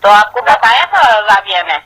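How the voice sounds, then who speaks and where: Middle-aged woman, telephone recording, mid pitch, worried, questioning, informal. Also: very animated, normal speed, sharp tone, low-quality recording. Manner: anxious, questioning. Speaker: worried mother on a phone call. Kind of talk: informal phone conversation.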